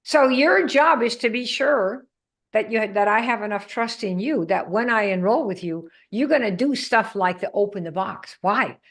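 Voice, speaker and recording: A woman's voice: medium pitch, moderate pace, a bit bright. A woman from the Netherlands coaching a live group on sales, emphatic and quizzing her audience. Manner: emphatic, asks the audience questions.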